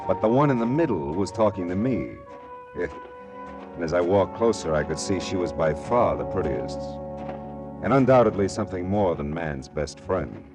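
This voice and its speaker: A hard-boiled male private eye from a radio detective play. His voice is low but very lively in pitch, and he delivers dry, wry wisecracks over dramatic music. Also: normal speed. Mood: wry narrating. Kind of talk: 1940s radio detective drama, narration and dialogue.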